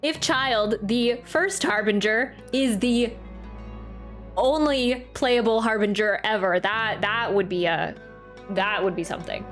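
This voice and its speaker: A woman's voice: medium pitch, takes it slowly, fairly bright tone. A woman hosting a game reaction video, musing aloud in a playful, slangy, casual way. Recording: faint game music behind.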